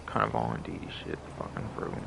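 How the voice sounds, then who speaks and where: A man doing casual live commentary as a game streamer, muttering, repeating himself and swearing. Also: a little fast.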